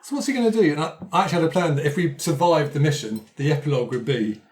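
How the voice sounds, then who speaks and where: A man's voice: a little high, rapid-fire, darkish tone. A man chatting casually as a cast member looking back on a role-playing game. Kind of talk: casual chat.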